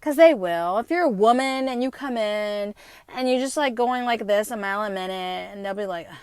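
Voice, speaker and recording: A woman in her fifties with a New Jersey accent at times, hosting an unscripted podcast monologue, talking fast, swearing freely and tagging her points with "right?". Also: medium pitch, smooth, no echo, studio quality. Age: 50s. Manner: fast-talking, profane and rambling; emphatic, with frequent tag questions. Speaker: podcast host.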